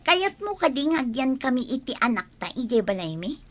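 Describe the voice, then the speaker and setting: A woman's voice: sits high, very animated, normal speed, soft background music. An actress playing a scornful, hot-tempered mother in a radio drama. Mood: angry.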